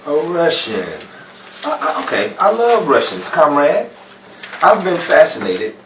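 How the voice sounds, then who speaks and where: A man's voice: on the high side, huge pitch swings, a little slow. A man rehearsing as announcer for an old-time radio show, stumbling over his script. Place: small indoor room dressed as radio studio. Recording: roomy, distant mic, clear background noise.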